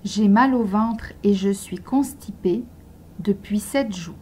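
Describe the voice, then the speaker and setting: A woman slowly reading simple model sentences aloud for beginner language learners, pronouncing each one with care. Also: mid-range voice, mellow, a little hiss or hum. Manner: slow, carefully articulated dictation. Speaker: language teacher.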